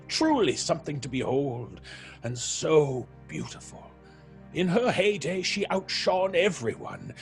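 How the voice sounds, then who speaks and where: A man playing a troubled theatre actor in an audio horror drama, speaking haltingly with dramatic pauses. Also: fairly high pitch, a bit bright, exaggerated voice. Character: troubled theatre actor, haunted by a past tragedy.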